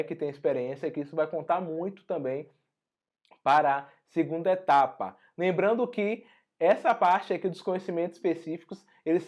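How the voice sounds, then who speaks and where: A hoarse man with a Brazilian Portuguese accent, teaching an online exam-prep lesson in a conversational way full of tag questions. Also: fairly high pitch, a little mellow, dull audio. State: hoarse after a flu. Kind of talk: online lesson walking through an exam notice.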